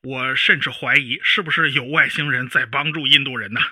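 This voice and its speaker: A man playing an animated professor of spaceflight, explaining emphatically like a TV expert in a radio drama. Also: mid-range voice, a little fast, slightly rough, no echo. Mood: amazed. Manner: didactic, emphatic, with homely particles. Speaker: spacecraft chief designer, expert guest.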